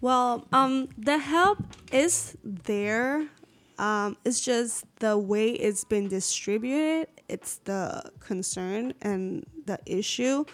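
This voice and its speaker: A woman's voice: on the high side, expressive, takes it slowly. A woman from Puerto Rico, a radio interview guest, speaking hesitantly with many fillers.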